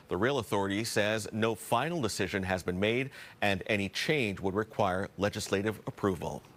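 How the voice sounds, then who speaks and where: An American man anchors a newscast in a fairly low voice. His delivery is scripted, measured and formal, item after item. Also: fairly bright tone. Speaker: news anchor. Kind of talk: scripted broadcast news reading.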